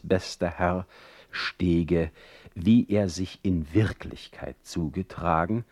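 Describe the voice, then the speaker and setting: A man's voice: low-pitched, a little mellow, dry recording, studio quality, heavily acted. An actor playing an older, sickly, jealous and eloquent male poet in a radio play, reading out his formal letters with ornate, theatrical emphasis. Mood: formal, wounded.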